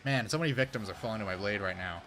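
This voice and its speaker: A young man rambling through casual gameplay commentary as a game streamer, swearing when frustrated, his voice going hoarse. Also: on the low side, racing, a bit bright, soft background music. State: losing his voice.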